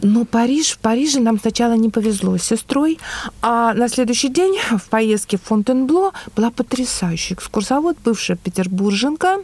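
A middle-aged woman from Russia speaking as a radio interview guest, telling stories in an animated, emphatic conversational manner. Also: on the high side, moderate pace. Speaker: guest, museum worker and tour guide.

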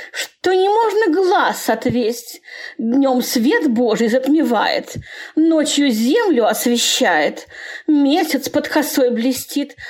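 Sneering old storybook matchmaker: high, unhurried, theatrical verse with a mocking lilt. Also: female voice, a little mellow. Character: sly, sneering old matchmaker woman from a fairy tale. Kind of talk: theatrical Russian fairy-tale verse recital.

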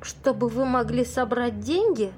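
A woman's voice: on the high side, expressive, unhurried, darkish tone, a little hiss or hum. An actress in an amateur audio play playing a naive, curious young woman, questioning and a little hesitant.

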